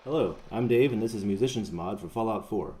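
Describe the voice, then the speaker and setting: Low, mellow man narrating casually. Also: brisk. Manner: informal, conversational. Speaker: mod creator presenting his project.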